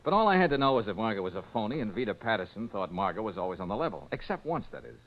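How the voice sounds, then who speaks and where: Fast-talking American radio detective. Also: male voice, lowish voice, big pitch swings. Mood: wry, confident. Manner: casual, teasing, slangy banter. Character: breezy, cocky male private detective, quick-witted. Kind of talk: 1940s radio drama acting. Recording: dull 1940s radio recording.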